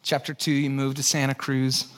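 A man with a Texan accent preaching a conversational, animated sermon, dropping to hushed low lines and jumping into lively high asides. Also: normal speed. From Texas. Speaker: pastor. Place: church sanctuary.

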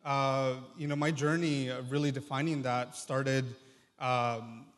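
Male guest speaker, 20s, Triqui first language, medium pitch, sincere hesitant testimony in a reverberant hall. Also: fairly even intonation. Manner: halting, earnest. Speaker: guest speaker, medical student. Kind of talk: personal testimony to congregation. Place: large church room, live address.

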